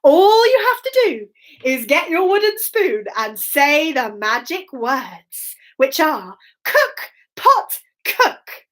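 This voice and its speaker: A woman voicing a gentle, mysterious magical lady in a children's story, solemnly giving instructions. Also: sits high, sing-song, takes it slowly, exaggerated voice. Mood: instructing, mysterious.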